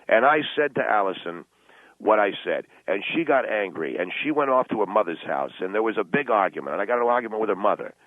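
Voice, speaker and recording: A man playing a self-justifying middle-aged businessman father, halting and repeating himself defensively on a talk radio comedy call. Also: fairly low pitch, lively intonation, dry recording, voice pushed down, close to an everyday voice. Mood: indignant, insistent. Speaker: phone-in guest. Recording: narrow, tinny phone line.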